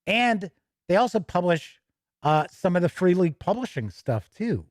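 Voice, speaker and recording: A man's voice: high-pitched, big pitch swings, moderate pace, dry recording, studio quality. A man hosting a tabletop gaming news show, chatting casually between read-out product copy, with self-correcting, rambling asides.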